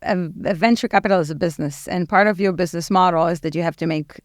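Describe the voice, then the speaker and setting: A woman's voice: on the low side, fast talker, dry recording, clean studio sound. A woman, speaking as a podcast guest in a candid, chatty way with many fillers. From Israel. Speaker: podcast guest, nonprofit executive director. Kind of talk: casual interview talk.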